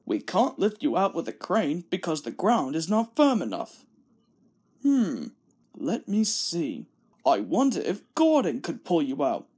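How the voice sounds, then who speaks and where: A woman voicing a stern, deliberate male railway controller in a children's storybook. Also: on the low side, huge pitch swings, darkish tone, breathy edge, heavily acted. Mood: pondering.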